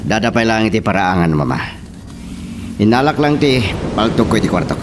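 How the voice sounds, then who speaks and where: Casting: scheming landowner's son, widely swinging pitch, Ilocano accent, radio drama, noisy ambience. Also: male voice, very animated, a little fast. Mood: wary.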